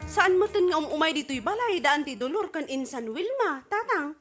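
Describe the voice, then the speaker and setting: A woman plays a caring young Ilocano daughter in a radio drama. Her voice sits very high and moves in lively swoops. She sounds concerned and inquisitive. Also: moderate pace, faint music behind. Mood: curious. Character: caring young daughter visiting home from abroad.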